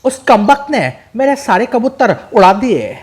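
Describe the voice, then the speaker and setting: A man's voice: very high-pitched, animated, a little slow, background noise, voice pushed up. A man voicing an irritable, complaining partner of a thief, with a grumbling manner. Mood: irritated.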